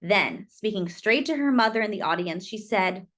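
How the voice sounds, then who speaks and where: A woman reading a children's picture book aloud expressively, with dramatic, deliberate phrasing and drawn-out emphasis. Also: mid-range voice, expressive, a bit bright.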